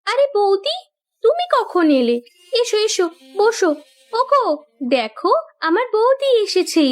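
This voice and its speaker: This is a young, rich-born bride from a cartoon tale, acted by a woman. Her voice is extremely high and girlish. She speaks earnestly and insistently, loving yet stubborn. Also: big pitch swings, unhurried, heavily acted. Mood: puzzled, welcoming. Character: determined, loving young landlord's daughter turned farmer's wife. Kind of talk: cartoon story voice acting.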